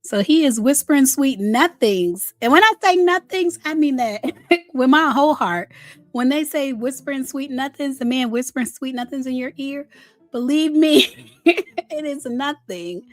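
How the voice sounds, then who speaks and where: Slightly raspy, high-voiced woman gossip vlogger. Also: lively intonation, dry recording. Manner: chatty, candid, drawn-out emphasis. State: voice worn from recent illness. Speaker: host of a celebrity gossip channel. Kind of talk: casual gossip commentary and reaction.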